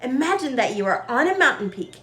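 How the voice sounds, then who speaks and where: A woman reading the part of a kindly, encouraging man in a storybook, gentle and measured. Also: high voice, very animated, roomy sound. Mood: guiding.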